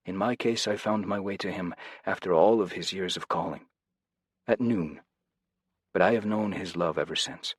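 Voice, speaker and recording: A man voicing a calm, fatherly, devout interrogator who speaks reassuringly. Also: sits low, normal speed, slightly rough, no echo, studio quality, pitched above the performer's own voice. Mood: earnest.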